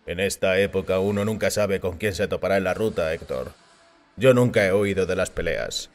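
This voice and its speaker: A man's voice: sits low, normal speed. A man voicing a self-assured, proud middle-aged driver in an audio story, speaking dismissively. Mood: wary. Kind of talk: audio fiction dialogue.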